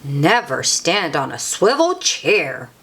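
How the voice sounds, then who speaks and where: A woman voicing an earnest male police officer in a children's storybook, reciting safety tips in a deliberate, emphatic, instructive way. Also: low-pitched, sing-song, a bit bright, slightly rough, pitched below the performer's own voice, heavily acted. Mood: stern warning. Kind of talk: children's storybook character reading.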